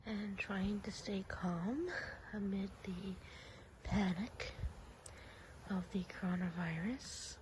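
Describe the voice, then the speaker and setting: A woman hosts in a soft, breathy ASMR whisper. Her delivery is gentle and hushed, at a medium pitch. There is faint noise behind her voice. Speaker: host of an ASMR channel.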